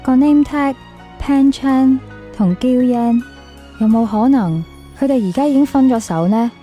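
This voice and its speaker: A woman's voice: high voice, a little slow, warm tone. A woman playing an insecure, lovelorn young woman in a radio drama, plaintive and questioning, with a Hong Kong Cantonese accent. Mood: heartbroken. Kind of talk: radio drama acting with confessional narration. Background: music under the voice.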